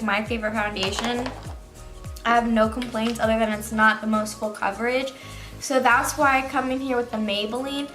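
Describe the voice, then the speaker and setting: A young woman walking through her everyday makeup routine in a loose, chatty way, her voice still sleepy from just waking up. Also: high-pitched. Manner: chatty, loose, heavy use of "like". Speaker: beauty vlogger. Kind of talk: casual makeup tutorial.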